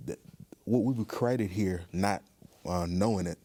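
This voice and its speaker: A man giving thoughtful interview answers as a museum guest, speaking in a measured, earnest and deliberate way. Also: low-pitched, dark tone.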